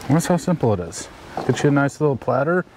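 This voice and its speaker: A man co-hosting a casual outdoor cooking demo, talking in a relaxed, chatty way as he explains each step. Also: medium pitch, quick pace, very noisy. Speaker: cooking show co-host. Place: outdoor campsite. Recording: music playing behind.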